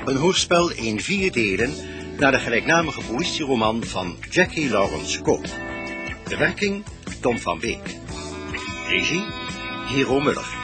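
Music plays clearly behind a male radio drama announcer. His scripted delivery is drawn out, with animated intonation at a middle pitch. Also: measured pace, pauses often.

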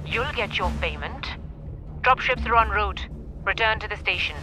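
A woman's voice: medium pitch, lively intonation, moderate pace, music in the background, lightly acted. A woman playing a cool, scheming corporate official in a video game, formal and authoritative. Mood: businesslike.

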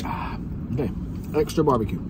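A man's voice: medium pitch, fairly quick. A young man hosting a casual snack taste test from inside a parked car, reacting with chatty enthusiasm. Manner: chatty, animated food reactions. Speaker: vlog host. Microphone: camera on the dashboard. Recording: car interior with ambient noise.

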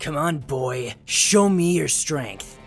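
A man's voice: a little high, expressive, slow, vocal fry, heavily acted. Man voicing a haughty, menacing vampire assassin over music. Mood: contemptuous taunting. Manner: taunting, haughty. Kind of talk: animated roleplay voice acting.

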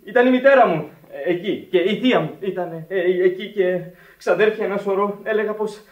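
An actor playing a shy, earnest young sailor in a Greek radio drama, with a hesitant, stammering delivery. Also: male voice, high voice, dull audio, natural voice. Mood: nervous. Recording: roomy, noisy, distant old radio recording.

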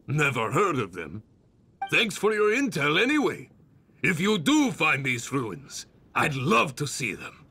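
A man voicing a hearty, welcoming older adventurers guild leader in a video game, with drawn-out exclamations. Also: a little high, huge pitch swings, vocal fry, pushed and bright, slightly rough, exaggerated voice. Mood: hearty, jovial.

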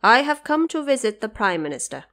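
A woman's voice: a little high, very animated, brisk, fairly bright tone. A woman voicing a humble, devout teenage male monk in an audio drama, speaking earnestly. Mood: earnest, polite.

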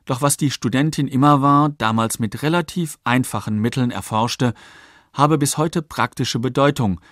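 A man narrating a scripted documentary in a factual, measured way. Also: mid-range voice, fairly bright tone, no room sound, clean studio sound. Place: dry studio.

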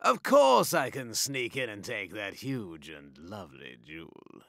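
A man's voice: lowish voice, huge pitch swings, a little slow, vocal fry, fairly bright tone, no room sound, studio quality, heavily acted. A man playing a smug, sly panther cat burglar, gloating and theatrical, in a rhyming cartoon. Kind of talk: rhyming animated story dialogue.

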